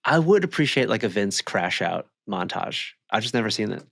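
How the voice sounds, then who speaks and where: A man's voice: on the low side, very animated, moderate pace, vocal fry, a bit bright, no echo, studio quality. A man chatting casually as a podcast co-host, slangy and enthusiastic. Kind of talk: casual chat.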